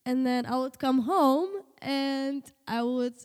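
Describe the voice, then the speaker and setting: A woman's voice: sits high, little pitch movement, takes it slowly, a little mellow, in a large hall. A teenage girl from Slovenia, a native Slovenian speaker, chatting casually and hesitantly in an interview with many fillers and restarts. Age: teens. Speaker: guest, host's daughter.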